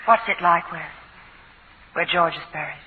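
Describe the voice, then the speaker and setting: A woman's voice: on the low side, fairly even intonation, normal speed, natural voice. Woman playing an earnest young american widow, plain-spoken, hissy thin 40s radio recording. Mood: sober. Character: earnest, steadfast young war widow at a hotel. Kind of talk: 1940s radio acting. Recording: noisy, phone-like 1940s radio recording.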